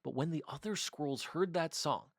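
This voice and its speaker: A man narrating a children's story in an expressive, deliberate storybook delivery. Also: fairly high pitch, dry recording, clean studio sound. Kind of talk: scripted narration, children's read-aloud.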